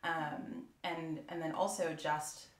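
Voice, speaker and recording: A woman's voice: low voice, level tone, slow pace. A woman who works as a voice actor, chatting casually and expressively in a roundtable discussion. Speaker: voice actor on a panel. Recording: roomy, echoey room.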